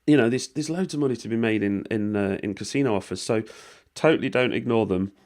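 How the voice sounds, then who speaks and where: A British man with a voice worn hoarse by a cold, talking viewers through an online walkthrough in a rambling, informal way, with swearing and lots of fillers. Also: lowish voice, fast talker, a little mellow. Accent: British English. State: losing his voice from a cold. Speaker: vlogger explaining money-making offers. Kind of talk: casual screen-recording walkthrough.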